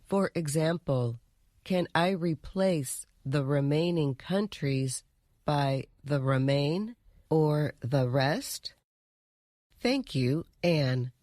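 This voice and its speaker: A reader with an androgynous, mid-range voice reads aloud from a script. The delivery is very slow and carefully enunciated, in a dry, close recording. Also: medium pitch, darkish tone, dry recording. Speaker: reader of listener's letter and examples.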